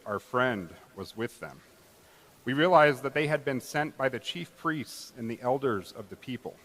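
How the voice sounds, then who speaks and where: A man's voice: medium pitch, a bit bright. Man as remorseful disciple, echoing hall. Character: remorseful male disciple recalling his master's arrest. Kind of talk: church dramatic reading, solemn storytelling. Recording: reverberant church hall.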